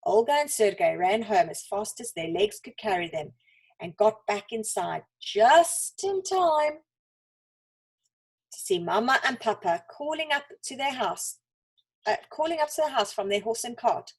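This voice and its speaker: Expressive, husky woman storyteller. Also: a little high. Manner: dramatic, with deliberate pauses and emphasis. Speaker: storyteller reading to children. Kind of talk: expressive children's story narration.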